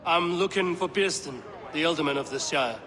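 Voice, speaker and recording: A man playing a blunt, steely Viking warrior in a game cutscene, with measured, terse, formal lines. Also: on the high side, sharp tone, big-room sound. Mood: firm, calm. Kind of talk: dramatic game cutscene acting.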